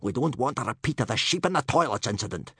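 A man's voice: mid-range voice, expressive, quick pace, a bit bright, slightly rough, dry recording, studio quality, voice pushed up. A man voicing a bossy, blustering Viking instructor, commanding and exasperated, in an audiobook story. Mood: hushed, orderly.

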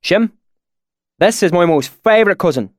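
A genial young country lad, acted by a male storybook narrator, chats in a folksy rural dialect. His voice is raised a little and very animated, in a dry recording. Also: sits high, normal speed, dry recording, pitched above the performer's own voice. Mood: friendly. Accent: put-on rural dialect. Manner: folksy, friendly, chatty.

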